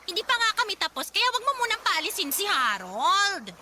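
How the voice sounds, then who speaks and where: A woman's voice: very high voice, sing-song, normal speed, sharp tone, slight background noise, heavily acted. A woman playing a brazen, argumentative middle-aged mother in a radio drama. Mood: defiant. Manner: brash, argumentative.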